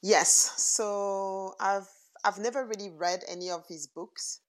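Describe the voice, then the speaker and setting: A woman in her forties with a French accent, talking through her answers as a guest in a casual interview, often pausing on fillers and restarting. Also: mid-range voice, fairly even intonation, poor audio. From France. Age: 40s. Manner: thoughtful, with frequent fillers and restarts. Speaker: guest entrepreneur.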